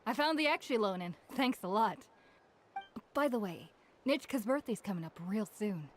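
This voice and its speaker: A woman's voice: mid-range voice, expressive, normal speed, a little hiss or hum, natural voice. An actress playing a chatty, inquisitive female villager in an anime game, familiar in manner. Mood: teasing, familiar. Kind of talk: anime game character acting. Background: soft game music.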